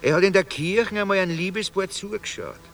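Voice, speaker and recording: Radio drama: a wheedling, folksy Viennese homeless man, forceful bright tone, faint music behind. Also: high-pitched, brisk. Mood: musing, wry. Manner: rambling, musing, folksy storytelling with mock-grand turns. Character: talkative Viennese homeless man, wry, wheedling, street philosopher. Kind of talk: radio drama acting in dialect.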